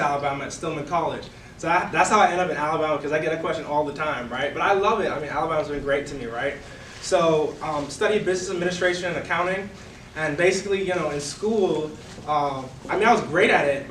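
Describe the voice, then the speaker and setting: A young man with a Bahamian accent giving a relaxed, conversational talk to a live audience, full of "you know" and "right?". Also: on the high side, a little hiss or hum. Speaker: guest speaker. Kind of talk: casual presentation to a live audience. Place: event room before an audience. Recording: roomy, distant room mic.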